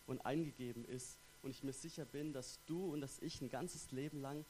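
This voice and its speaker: A man's voice: mid-range voice, normal speed, dark tone, a little hiss or hum, poor audio. Young Swabian man preaching to a congregation. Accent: light Swabian. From Stuttgart, Germany. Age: young adult. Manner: friendly, chatty, frequent "genau" and "ähm" fillers. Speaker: theology student preaching. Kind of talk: church sermon with personal introduction.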